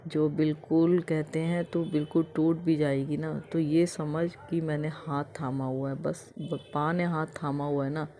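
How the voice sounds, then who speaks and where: A middle-aged woman with a hoarse throat, sharing an emotional devotional thanksgiving testimony in a repetitive, chant-like way. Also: sits low, level tone, unhurried, hardly any pauses, dark tone, slight background noise.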